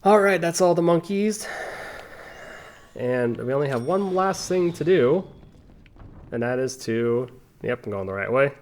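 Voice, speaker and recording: A man's voice: mid-range voice, lively intonation, unhurried, pauses often. A man giving casual, rambling commentary over a video game playthrough, chatting with playful asides. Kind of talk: casual gameplay commentary with tangents.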